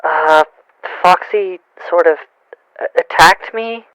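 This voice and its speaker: An actor playing a nervous, flustered young coworker with a hesitant, stammering delivery in an audio drama. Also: male voice, sits high, takes it slowly, fairly bright tone, clean tone, no echo, poor audio.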